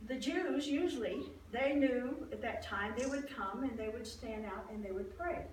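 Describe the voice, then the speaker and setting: A woman playing a devout older priest's wife in a dramatized Bible monologue, telling her story conversationally and expressively, with halting restarts and acted-out exclamations. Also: a little high, close to an everyday voice. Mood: earnest, explaining. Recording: roomy echo, distant mic, faint noise.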